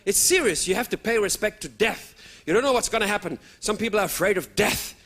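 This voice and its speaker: A man, performing stand-up comedy for a live audience with lively outbursts. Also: high-pitched, quick pace. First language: German. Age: 30s. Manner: animated, with sudden loud outbursts and put-on voices. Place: stage before a live audience.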